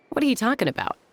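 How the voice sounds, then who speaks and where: A woman's voice: fairly high pitch, a bit bright. An actress playing a laid-back, wry warrior woman in an English video game, with a casual, unbothered manner.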